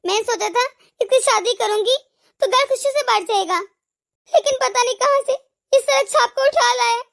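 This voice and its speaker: Woman voicing a high, lonely, regretful mother. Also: normal speed, vocal fry, fairly bright tone, heavily acted. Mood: bitter. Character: regretful, lonely, proud middle-aged mother. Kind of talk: animated family drama dialogue.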